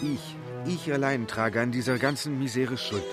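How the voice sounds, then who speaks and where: An actor voices a distraught manservant in a radio play, pushing his words out as he blames himself. The voice sits at a middle pitch. Music plays underneath. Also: normal speed, lightly acted. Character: distraught, guilt-ridden male manservant.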